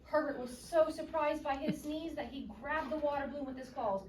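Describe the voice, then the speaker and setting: A woman's voice: high voice, brisk. A woman reading a children's story aloud expressively and interactively, recorded at a distance in an echoey, noisy room. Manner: expressive, questioning teacher-like delivery.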